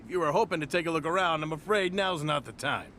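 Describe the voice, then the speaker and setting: A man's voice: a little high, expressive, fast talker, fairly bright tone, a little hiss or hum. A man voicing a polite, apologetic male staffer turning visitors away.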